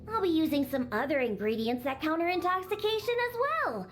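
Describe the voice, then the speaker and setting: A woman's voice: high voice, very animated, fairly quick. A woman voicing a bossy, exasperated young cat-girl daughter in a video game, scolding and matter-of-fact. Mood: bossy, instructing. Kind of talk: video game character acting. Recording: faint game music behind the voice. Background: game music.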